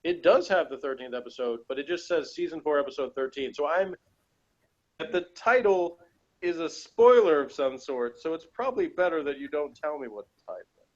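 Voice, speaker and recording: A man with a slightly high voice talks casually as he co-hosts an episode review. The sound is a little dull and lo-fi. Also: expressive, moderate pace. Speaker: co-host of a TV episode review show.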